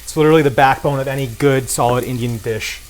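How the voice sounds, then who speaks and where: A man's voice: medium pitch, fast talker, a crowd in the background. A man talking casually and enthusiastically as a guest at a cooking demonstration, with a promotional way of talking. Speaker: guest cook and beer brand co-founder. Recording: clear noise of frying and sizzling. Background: sizzling pan in a cooking demo.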